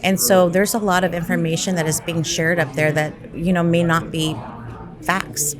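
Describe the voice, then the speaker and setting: A woman's voice: lowish voice, level tone, normal speed. A woman giving a casual interview answer, informal and often checking that the listener follows. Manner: informal, seeking agreement with frequent check-in tags. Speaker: interviewed technology executive. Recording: busy crowd noise behind. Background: crowd chatter.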